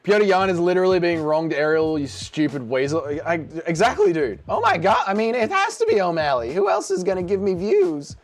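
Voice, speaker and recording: A man's voice: high voice, big pitch swings, fairly quick, hardly any pauses. A middle-aged man streaming live, reading and answering chat in a brash, sarcastic way and swearing freely. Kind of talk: casual livestream chat.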